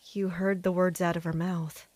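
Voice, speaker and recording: A woman playing a loyal, pragmatic captain in an audio drama, speaking in a measured, firm, admonishing way. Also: fairly low pitch, level tone, darkish tone, no room sound, close to an everyday voice. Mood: guarded. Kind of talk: dramatic audio fiction acting.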